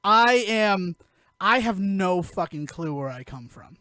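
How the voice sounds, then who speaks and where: A man's voice: high-pitched, animated, slow pace, sharp tone, no room sound, studio quality. An American man chatting casually on a podcast, informal and opinionated. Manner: opinionated, informal, swears freely. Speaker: podcast host.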